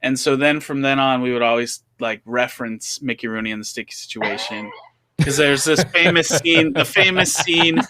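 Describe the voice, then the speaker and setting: A man's voice: medium pitch, normal speed, sharp tone, rough recording. A man co-hosting a comedy show, casually telling anecdotes in group banter.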